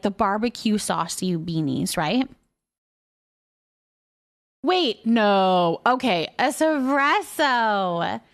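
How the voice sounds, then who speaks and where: A woman chatting casually and playfully as she hosts a livestream and responds to viewers' comments. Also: a little high. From Canada. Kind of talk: casual chat, reading and answering viewer comments.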